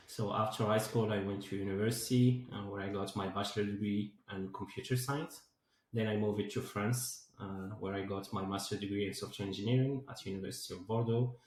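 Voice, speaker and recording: A man's voice: on the low side, fairly even intonation, fairly quick, darkish tone. A man in his twenties from Morocco, answering interview questions as a guest and filling his sentences with "like" and "uh". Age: 20s. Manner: many fillers like "like" and "uh". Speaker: guest, DevOps engineer and founder. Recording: very echoey room.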